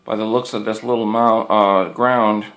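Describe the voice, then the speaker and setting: A man's voice: on the low side, steady tone, moderate pace, fairly bright tone. A man giving a casual, unscripted product review in an informal, folksy, rambling way, often repeating his words.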